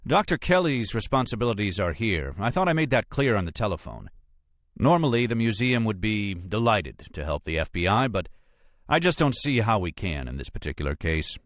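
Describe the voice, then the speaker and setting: An audiobook character acted by a male narrator: a pompous, impatient male lawyer. His delivery is brusque and emphatic, swinging animatedly in pitch, in a dry, close recording. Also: sing-song, normal speed, no room sound. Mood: irritated, dismissive. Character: irritable, self-important male museum lawyer, brusque and dismissive.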